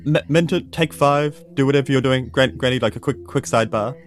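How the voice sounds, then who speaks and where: A man's voice: medium pitch, a little fast, faint music behind. A man with a New Zealand accent playing a tabletop role-playing game, bantering casually in character.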